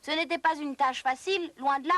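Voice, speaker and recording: A woman's voice: sits high, fairly even intonation, a little fast, a bit bright, dry recording. A woman narrator delivering scripted educational cartoon narration in a storytelling manner.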